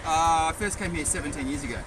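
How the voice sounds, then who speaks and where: A man's voice: a little high, fast, a crowd in the background, rough recording. A man talking insistently, lecturing and repeating his point in casual conversation. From Australia. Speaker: expat resident. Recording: loud vehicle noise behind.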